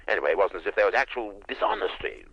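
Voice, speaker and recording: An actor playing a pompous, indignant older English knight with an upper-class accent, blustering and stammering through a telephone in a radio drama. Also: male voice, deep voice, animated, rapid-fire, no room sound, muffled recording. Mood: blustering, defensive. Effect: telephone filter. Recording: thin band-limited telephone-style sound.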